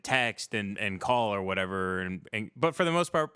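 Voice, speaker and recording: A man's voice: on the low side, animated, moderate pace, pushed and bright, clean tone, no echo, clean studio sound. A man chatting casually on a livestream talk show, speaking informally with slang and swearing.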